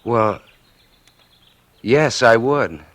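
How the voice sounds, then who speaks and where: A man's voice: medium pitch, very slow, fairly bright tone. An actor playing a shy, introverted, earnest man in an old-time radio drama, speaking with polite hesitation and a slight stammer. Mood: bashful, flustered. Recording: vintage radio recording with music behind.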